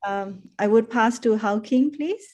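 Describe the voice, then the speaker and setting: A woman from Myanmar introducing herself in a halting way, repeating words, over a video call. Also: a little high, darkish tone, highs cut off. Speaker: workshop participant.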